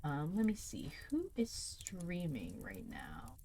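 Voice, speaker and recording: Casting: female livestream storytime host, lowish voice, calm and chatty, casual delivery. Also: takes it slowly, a little hiss or hum. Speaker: livestream host reading stories aloud.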